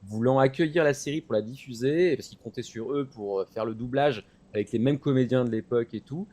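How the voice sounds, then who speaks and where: A man chatting through anime news as a show commentator, explaining in an animated, digressive way. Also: mid-range voice, brisk. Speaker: commentator on a web talk show about anime. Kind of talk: casual news chat.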